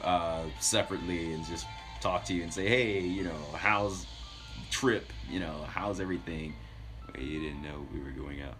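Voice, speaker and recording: A man chatting casually as a livestream host, rambling as he tells stories and talks to his viewers. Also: deep voice. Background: background music.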